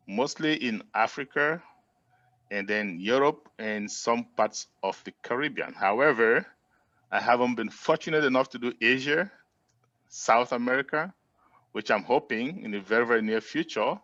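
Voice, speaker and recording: A middle-aged man from Cameroon, a guest in a casual interview who tells his story in a friendly, relaxed way with frequent "uh" fillers. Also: medium pitch, dry recording, lo-fi sound.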